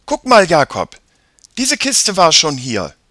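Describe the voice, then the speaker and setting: A man voicing a lively young girl in a children's audiobook, eager, questioning and insistent. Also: on the high side, slow, dry recording, voice pushed up, heavily acted. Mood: bright, impatient.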